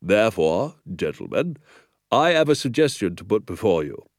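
A man's voice: fairly low pitch, very animated, moderate pace, dry recording, clean studio sound. A man voicing a stern, formal village police sergeant. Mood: officious. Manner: formal, officious, ungrammatical at times.

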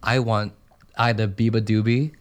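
A man co-hosting a radio show, excitable and often trailing off mid-sentence. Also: fairly low pitch, normal speed. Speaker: radio co-host. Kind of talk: casual radio chat.

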